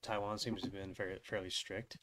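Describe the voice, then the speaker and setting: A man's voice: sits low, flat delivery, brisk, fairly bright tone, slight background noise. A man hosting a live Q&A, asking careful, probing questions and thinking them through as he speaks. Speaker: host and interviewer. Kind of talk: live Q&A interview.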